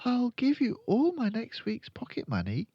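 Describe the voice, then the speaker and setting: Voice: medium pitch, wildly swooping pitch, moderate pace, slightly rough, no echo, muffled recording, pitched above the performer's own voice, heavily acted. A narrator voicing a clever, determined little girl in a storybook. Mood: admiring, coaxing.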